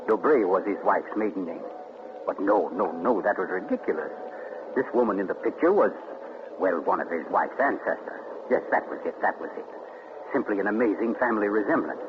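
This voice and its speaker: An actor playing an urbane, theatrical mystery writer who tells his tale with deliberate, dramatic pauses in 1940s radio style. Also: lowish voice. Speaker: actor and narrator. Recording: muffled, noisy 1940s radio recording.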